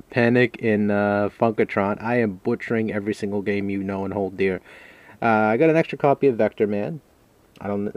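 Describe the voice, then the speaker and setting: A man doing a casual, unscripted show-and-tell vlog in a rambling, hesitant, self-deprecating way. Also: lowish voice. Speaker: video game collector, vlogger. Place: a room at home.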